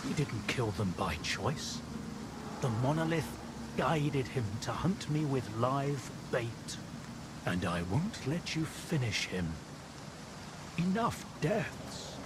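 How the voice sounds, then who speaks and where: Slow, solemn wasteland doctor. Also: male voice, mid-range voice, big pitch swings, background noise. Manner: measured, solemn, deliberate. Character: calm, principled male doctor of the wasteland. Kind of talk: dramatic video game dialogue.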